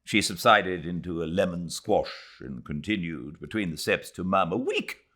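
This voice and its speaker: A British man narrating a classic novel in a measured, expressive reading voice. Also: sits low. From Britain. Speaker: audiobook narrator. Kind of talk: scripted literary narration. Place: home, beside a fireplace.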